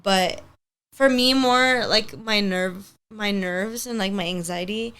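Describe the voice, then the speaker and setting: A woman's voice: medium pitch, slow pace, a bit bright, smooth. A young woman in her twenties whose first language is Spanish, chatting casually as a podcast guest and filling her talk with "like". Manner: rambling, heavy use of "like", upbeat. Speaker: podcast guest, hair stylist. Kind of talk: casual chat.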